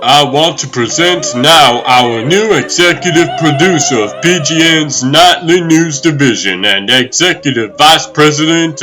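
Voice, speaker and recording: A man's voice: on the high side, a bit bright, rough recording. An actor playing a polished, self-important male media executive making a formal announcement in a comic book dub. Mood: proud, announcing.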